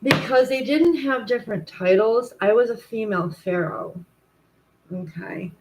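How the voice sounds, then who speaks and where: A woman's voice: fairly high pitch, measured pace, background noise. A woman tarot reader chatting casually on a livestream, rambling and correcting herself often, with a commanding voice. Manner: rambling, self-correcting, frequent "like" and "um".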